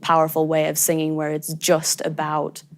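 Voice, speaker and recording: Gravelly-voiced young American woman, chatty. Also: low-pitched, moderate pace. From Sweden. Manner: animated, chatty, many fillers and restarts. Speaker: guest musician. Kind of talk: casual interview chat.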